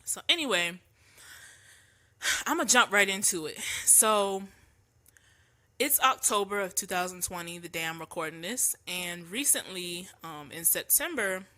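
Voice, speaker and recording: A woman with a lowish, bright, forward voice, a little stuffy from allergies. She talks in a loose, slangy, opinionated way, with plenty of ums as she goes. Recorded at home for her podcast. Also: expressive, unhurried. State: congested from allergies. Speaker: podcast host. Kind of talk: casual opinion monologue.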